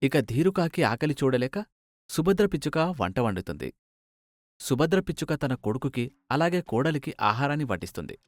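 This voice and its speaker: A man's voice: medium pitch, very animated, moderate pace, dry recording, clean studio sound. A man narrating a children's bird fable in storybook style.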